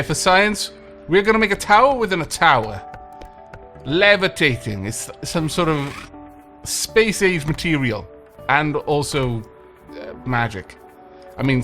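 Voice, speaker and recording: A man with a slight cold, chatting playfully to himself and the game's tenants as he plays. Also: medium pitch. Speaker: let's play host. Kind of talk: casual gameplay commentary. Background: game music.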